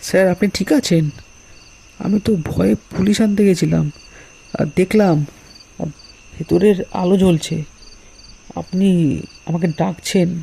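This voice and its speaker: Gravelly, mellow-voiced worried village man, acted. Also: high voice, steady tone, unhurried, pauses often, slight background noise. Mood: urgent, worried. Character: anxious, loyal village helper at a post office.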